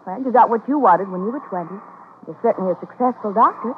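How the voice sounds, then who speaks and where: A woman's voice: mid-range voice, animated, fast talker, a little hiss or hum, lightly acted. An actress playing a wistful, affectionate young woman, an old sweetheart, in an old-time radio drama, speaking tenderly. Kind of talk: 1940s-50s radio drama acting. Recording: tinny old radio recording, music behind.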